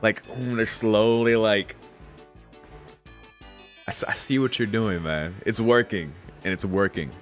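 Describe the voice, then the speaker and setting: Low-voiced man streaming with music behind. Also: steady tone, unhurried, pauses often. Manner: exclamatory, slangy, swearing. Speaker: gaming streamer reading a visual novel aloud. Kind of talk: casual playthrough, reading aloud with off-the-cuff reactions.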